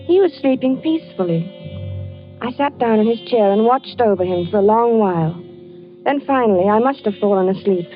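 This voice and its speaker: An actress playing an anguished, haunted wife in an old-time radio thriller, speaking and narrating with hushed dramatic intensity. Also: female voice, mid-range voice, lively intonation, normal speed, natural voice. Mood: wistful, uneasy. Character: anguished wife haunted by her husband's fatal dreams, narrating. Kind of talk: old-time radio suspense acting, first-person narration. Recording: dull vintage radio recording with music.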